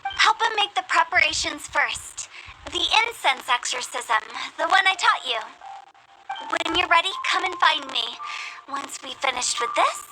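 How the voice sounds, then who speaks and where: A woman's voice: extremely high pitch, animated, unhurried, bright, forceful tone, soft background music, full character voice, rough recording. A woman playing a confident, playful young funeral parlor director in a video game, with a self-assured theatrical manner. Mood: confident, commanding.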